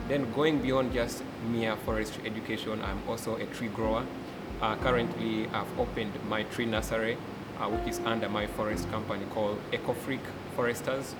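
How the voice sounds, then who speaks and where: A young man from Uganda with a Ugandan accent, speaking earnestly as a forest educator and delegate, often pausing with "uh". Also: on the low side. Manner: earnest, many "uh" pauses. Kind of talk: interview testimony. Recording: loud background hum.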